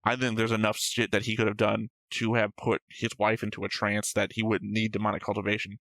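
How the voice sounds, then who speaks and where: A man's voice: fairly low pitch, completely flat delivery, a little fast, a bit bright, dry recording, low-quality recording. Casually rambling man, dry close sound. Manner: rambling, swears freely, thinks aloud. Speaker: reaction show co-host. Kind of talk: casual analytical chat about an anime episode.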